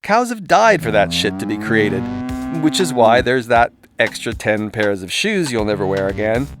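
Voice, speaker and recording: A man's voice: lowish voice, very animated, moderate pace. A man, sounding middle-aged, reads a scripted first-person audiobook in an irreverent, sardonic, comically exaggerated way. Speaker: author reading his own audiobook. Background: music and soundscape.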